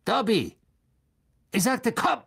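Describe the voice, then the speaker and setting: A man's voice: medium pitch, fairly even intonation, slow, vocal fry, darkish tone, slightly rough, no room sound, full character voice. A man voicing a cold, haughty aristocratic villain with a contemptuous, hissing menace for a storybook. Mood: furious.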